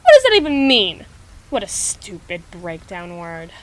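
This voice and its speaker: A woman playing a proud, anxious, flustered schoolgirl in an ASMR audio roleplay, speaking in halting, formal phrases. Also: on the low side, wildly swooping pitch, a little hiss or hum, low-quality recording. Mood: anxious confession. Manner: halting, prim, formal phrasing with trailing restarts.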